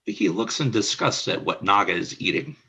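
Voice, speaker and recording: Brief: male narrator for a radio play, measured delivery, bright but husky tone. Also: fairly low pitch, animated. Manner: measured, announcer-like.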